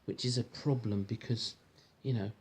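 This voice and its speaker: A man reading a storybook aloud to a child in an informal, encouraging, gentle way, with chatty asides. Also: mid-range voice, steady tone, moderate pace, slightly rough. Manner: encouraging, gentle, echoes the child's words back. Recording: home recording with faint background noise.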